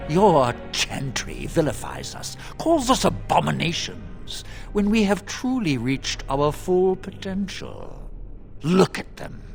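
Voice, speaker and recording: An actor playing a smug, power-mad, demon-possessed mage villain in a video game, speaking with theatrical, condescending emphasis. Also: male voice, on the high side, wildly swooping pitch, takes it slowly, vocal fry, soft background music, full character voice. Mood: fervent, grandiose. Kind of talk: dramatic video game acting.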